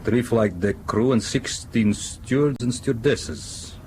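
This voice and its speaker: An actor playing a guarded, suspicious ship's captain in a radio drama, a non-native English speaker with formal, precise manners. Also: male voice, mid-range voice, darkish tone, a little hiss or hum, natural voice, low-quality recording. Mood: measured, probing. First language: not English. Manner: formal, precise, measured courtesy.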